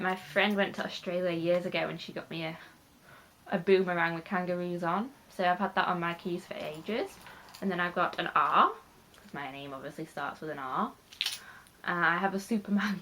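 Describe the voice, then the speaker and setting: A woman's voice: lowish voice, a bit bright, a little hiss or hum, poor audio. A young British woman with a slightly nasal voice from a cold, rambling chattily through a casual vlog. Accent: British English. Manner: rambling, chatty, with frequent fillers. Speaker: YouTuber.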